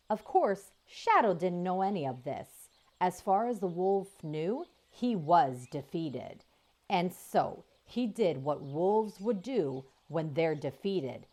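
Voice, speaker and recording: A woman reading a children's chapter book aloud as the channel's narrator, in slow, expressive storytelling. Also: on the low side.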